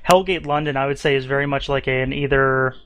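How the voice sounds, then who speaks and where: Brief: mid-pitched male host, dry close sound, relaxed questioning manner. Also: fairly quick, no echo. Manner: easygoing, asks questions, hedges with fillers. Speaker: podcast host. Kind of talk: casual podcast chat.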